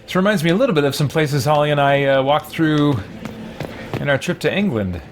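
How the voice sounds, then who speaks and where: A man's voice: on the high side, expressive, fairly quick, a little hiss or hum. A man giving casual let's play commentary, thinking aloud with playful exasperation. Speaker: gaming channel host. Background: game music behind the voice.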